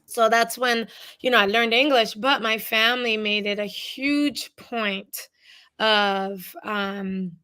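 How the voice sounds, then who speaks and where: A woman's voice: mid-range voice, bright, forceful tone, no room sound. A young woman from Boston, whose first language is Cape Verdean Creole, talking earnestly as a podcast guest with many "you know" fillers. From Boston, USA. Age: young adult. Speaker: podcast guest, author and teacher. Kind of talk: casual interview chat.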